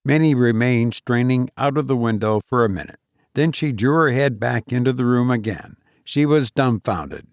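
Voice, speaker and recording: A man's voice: medium pitch, moderate pace, no room sound. Man narrating a story, dry close sound. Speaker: audiobook reader. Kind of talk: scripted storytelling narration with character voices.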